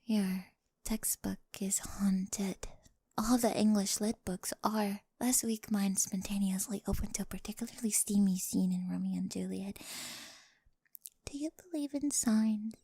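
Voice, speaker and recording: A young woman playing a quirky, awkward, talkative high school girl with a crush in an ASMR roleplay, rambling eagerly and often slipping into breathy whispers. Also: steady tone, a little slow, dark tone, no room sound. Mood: hushed, conspiratorial. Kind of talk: scripted ASMR roleplay, addressed to the listener. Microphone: close, dry studio-style microphone.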